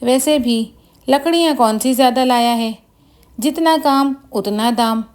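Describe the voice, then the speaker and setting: Stingy village didi , female narrator's character, falsely kind, calculating, unhurried bedtime-story acting. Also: on the high side, darkish tone, lightly acted. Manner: calculating, condescending. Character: stingy young village woman feigning kindness.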